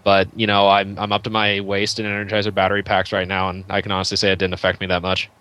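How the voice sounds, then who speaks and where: A man with a slightly low voice talks at a fast clip. He chats informally as a co-host and game reviewer on a podcast. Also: completely flat delivery, sharp tone. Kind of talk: casual chat.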